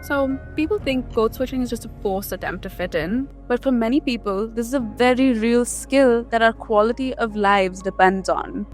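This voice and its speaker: High-voiced woman narrating over music. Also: a little mellow. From Indian immigrant raised in Canada. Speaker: video host. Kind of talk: scripted explainer narration for a vlog.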